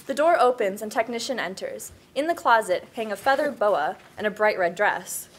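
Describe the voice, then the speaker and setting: A woman's voice: medium pitch, animated, normal speed. A woman reading scene headings and stage directions as the narrator at a script table read. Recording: table read with background noise.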